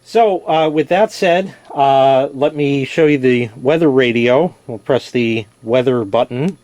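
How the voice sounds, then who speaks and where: A man's voice: mid-range voice, unhurried, darkish tone, a little hiss or hum. A man giving a casual, plain-spoken product demonstration, explaining as he goes and correcting himself. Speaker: product reviewer. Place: indoors in a basement.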